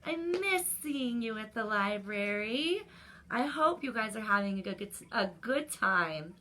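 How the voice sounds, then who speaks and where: A woman hosting a children's story time, reading aloud and chatting to young listeners directly, in an animated manner. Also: on the high side, measured pace, slight background noise.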